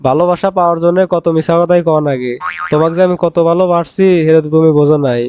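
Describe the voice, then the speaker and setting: A man's voice: on the high side, fast talker, a little mellow, highs cut off, slight background noise, lightly acted. A man voicing a pleading young job seeker in a cartoon, aggrieved and full of excuses, with a rural Bangladeshi accent. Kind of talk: cartoon comedy dialogue.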